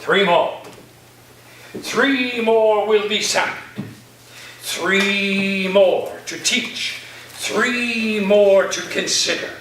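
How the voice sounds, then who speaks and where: A man playing an eccentric college professor in a staged reading, speaking in a pompous, deliberate, whimsical way with sudden outbursts. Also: high voice, a bit bright, exaggerated voice. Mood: grandiose. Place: echoey indoor room, staged reading. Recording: roomy, distant stage recording with faint noise.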